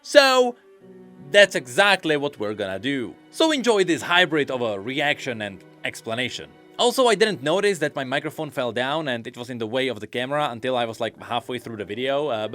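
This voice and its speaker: Male commentary host. Bright, forceful voice with lots of swing, conversational and emphatic. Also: mid-range voice. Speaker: video host. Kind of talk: casual reaction and explanation commentary.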